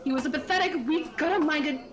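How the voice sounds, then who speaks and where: A woman's voice: high voice, a little fast, natural voice. A woman playing a vampire lord's loyal, haughty minion in a scripted ASMR roleplay, speaking formally and persuasively with disdain. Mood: scornful.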